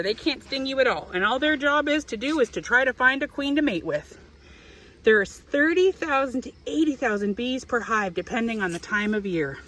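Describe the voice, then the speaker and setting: Animated, high-voiced woman reading to children. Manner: expressive, explaining to young children. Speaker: beekeeper reading a children's book aloud. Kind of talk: picture-book read-aloud with explanatory asides. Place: outdoors in an apiary.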